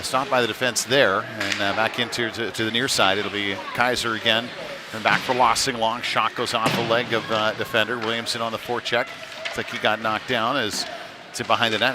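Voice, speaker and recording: A man giving live play-by-play commentary of a hockey game in a halting, conversational way. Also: mid-range voice. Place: indoor ice rink during a game. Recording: noisy live arena broadcast.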